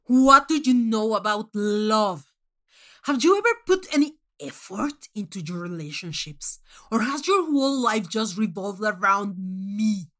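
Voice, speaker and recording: A woman voicing a furious, contemptuous monstrous male alien judge in a story, scathing and emphatic as the voice rises toward shouting. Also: mid-range voice, lively intonation, a little slow.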